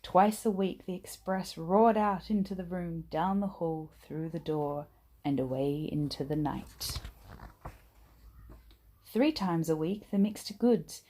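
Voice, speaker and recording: A woman reading a children's bedtime story aloud in an expressive, acted-out manner. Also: lowish voice, slow pace. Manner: animated, acts out dialogue and sound words. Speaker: storybook reader.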